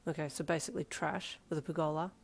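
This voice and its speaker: A young man with a dry, leering deadpan and a joking streak. A woman plays him in an audio story. She pitches him a little lower than her narration. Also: quick pace, vocal fry. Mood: deadpan teasing. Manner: deadpan and teasing.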